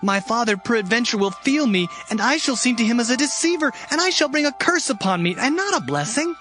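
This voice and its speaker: A man's voice: very high voice, lively intonation, brisk, sharp tone, soft background music, exaggerated voice. A man playing an anxious, wary young son in a dramatized Bible drama, earnest in archaic scripture dialogue.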